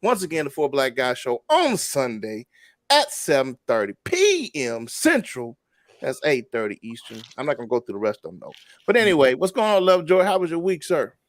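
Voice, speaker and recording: A man's voice: medium pitch, wildly swooping pitch, a little fast, dry recording, studio quality. A man hosting a casual livestream talk show, chatty and greeting viewers by name. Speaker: show host.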